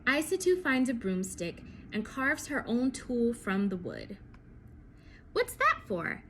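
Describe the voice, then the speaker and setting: A woman reading a picture book aloud to young children, telling it expressively with deliberate phrasing and dramatic pauses. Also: on the high side, expressive, a little hiss or hum.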